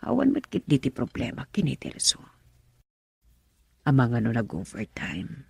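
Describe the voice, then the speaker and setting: A woman acting in a radio drama as an anxious, suspicious wife, with an Ilocano accent and a breathy, creaky, husky voice. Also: rumbling low, wildly swooping pitch, normal speed, dark tone, no room sound, full character voice. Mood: worried musing.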